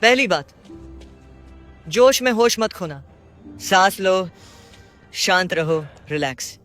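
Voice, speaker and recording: A man's voice: high-pitched, animated, slow, pushed and bright, soft background music, full character voice. A man playing an upbeat, protective young superhero in a video game, coaching and reassuring as he speaks. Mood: encouraging. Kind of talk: video game voice acting, Hindi dub.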